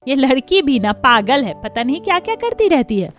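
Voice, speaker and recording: Lady doing a nosy cartoon mom, really high voice, suspicious and scolding. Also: big pitch swings, normal speed. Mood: puzzled, scolding. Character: suspicious, nagging middle-aged mother.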